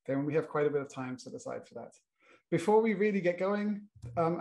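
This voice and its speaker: A man's voice: a little high, darkish tone. A middle-aged British man moderating a panel, introducing the topic with many fillers and restarts. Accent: British English. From Britain.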